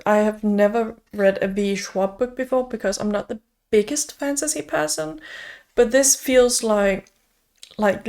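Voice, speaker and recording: A woman's voice: medium pitch, darkish tone. A young woman from Denmark, a native Danish speaker, chatting casually and upbeat as a book vlogger, with frequent fillers. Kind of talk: casual chat.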